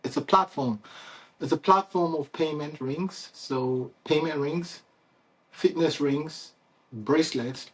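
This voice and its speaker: A man's voice: medium pitch, breathy edge. A man with a Nigerian accent, a company founder talking casually and unscripted to his customers, with frequent fillers and restarts. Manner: conversational, many fillers and restarts, chuckles often. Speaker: CEO and founder hosting a company Q&A.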